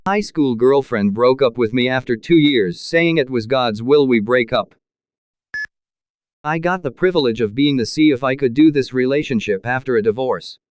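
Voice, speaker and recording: Male narrator, smooth clean voice, dry close recording, steady matter-of-fact read. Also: mid-range voice, moderate pace, dry recording. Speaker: narrator reading posts. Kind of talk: reading forum posts aloud.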